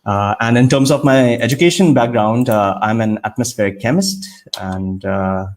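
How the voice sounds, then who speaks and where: A man from India, in his thirties, answering interview questions on a video call in a measured, explanatory way with frequent fillers. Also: medium pitch, a little mellow, a little hiss or hum. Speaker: guest scientist.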